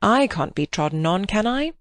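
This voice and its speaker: A woman's voice: medium pitch, very animated, moderate pace, no room sound, voice pushed up, close to an everyday voice. A woman reading aloud, voicing a boisterous, cheeky young man who answers back breezily. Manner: breezy, defensive.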